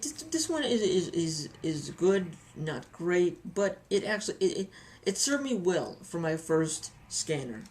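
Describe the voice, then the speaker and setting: Female hobbyist reviewer, lowish voice, casual and chatty, repeats herself for emphasis, faint room noise. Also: darkish tone. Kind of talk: casual vlog review with anecdotes.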